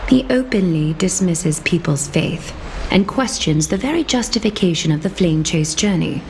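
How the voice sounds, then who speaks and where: A woman voicing a composed, regal female leader in a video game, speaking in formal, measured, eloquent phrases with dry disdain. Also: fairly low pitch, expressive, darkish tone, slight background noise. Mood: dryly disdainful. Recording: music behind the voice.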